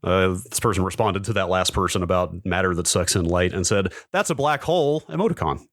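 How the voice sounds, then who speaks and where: Low-voiced man, dry close sound. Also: lively intonation, fairly quick, a bit bright, no echo. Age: 40s. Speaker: podcast co-host. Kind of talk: casual chat, reading old forum posts aloud.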